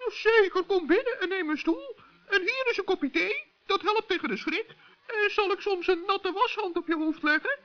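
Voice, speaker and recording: Tiny cheerful gnome, really squeaky high, polite n nosy, kids radio play, dull old recording. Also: male voice, normal speed. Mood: kindly, amused. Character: small, cheerful, inquisitive, kindly male forest gnome. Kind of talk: children's radio play acting.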